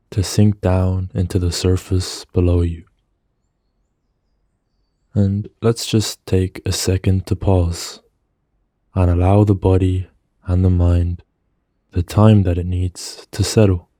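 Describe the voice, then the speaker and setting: Man, guided meditation narration, mellow dark tone, dry close recording, soothing, gentle, measured pauses. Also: sits low, no echo.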